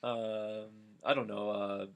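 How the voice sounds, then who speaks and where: A young adult man doing a casual, comedic dramatic reading, halting and stumbling over names as he goes. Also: on the low side, little pitch movement, vocal fry, clear, resonant tone. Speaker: amateur story reader.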